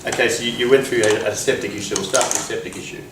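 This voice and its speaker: A man's voice: medium pitch, fairly even intonation, rapid-fire, noisy background. A man hosting a motivational interview, talking with energy and often restarting his phrases. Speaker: interviewer and host. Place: outdoors at a conference venue.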